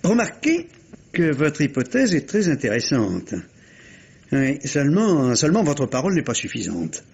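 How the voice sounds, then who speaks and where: An actor playing a polite, sceptical police inspector in a vintage radio mystery, questioning in a hesitant, roundabout way. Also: male voice, fairly high pitch, huge pitch swings, normal speed, creaky, darkish tone, husky, heavily acted. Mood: probing, hesitant. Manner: hesitant, courteous, roundabout questioning with repeated words. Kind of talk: 1950s French radio mystery acting. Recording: dull, lo-fi vintage radio recording.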